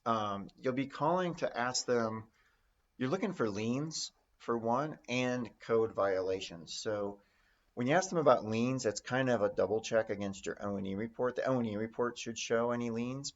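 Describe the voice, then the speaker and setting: A man's voice: medium pitch, moderate pace, lo-fi sound. A man giving informal, conversational instruction as an investing teacher. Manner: conversational, role-plays example phone calls. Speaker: real estate note investing instructor.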